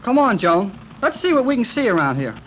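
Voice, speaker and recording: A brash, curious boy adventurer in an old radio serial. The delivery swings widely in pitch and is full of folksy slang. The vintage recording is muffled with clear noise. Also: very animated, fairly quick, fairly bright tone. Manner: folksy, informal, eager exclamations. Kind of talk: 1930s radio serial acting.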